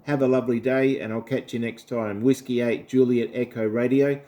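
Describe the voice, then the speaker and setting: A man's voice: mid-range voice, steady tone, a little fast, warm tone. An Australian man talking casually and politely as an amateur radio operator. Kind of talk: casual ham radio chat.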